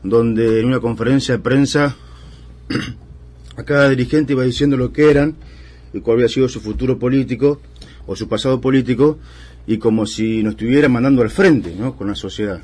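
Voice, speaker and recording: An Argentine man in his thirties answers radio interview questions about his life and union work. He talks in long, rambling runs, full of fillers and restarts, at an ordinary middle pitch. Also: moderate pace, a little mellow, breathy edge. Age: 30s. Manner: rambling, filler-heavy storytelling. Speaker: union leader guest.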